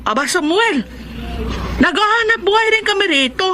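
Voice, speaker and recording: A woman's voice: very high-pitched, animated, normal speed, pushed and bright, slight background noise, exaggerated voice. A woman acting a hardworking, protective aunt in an emotive radio drama, reproachful yet caring. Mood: indignant.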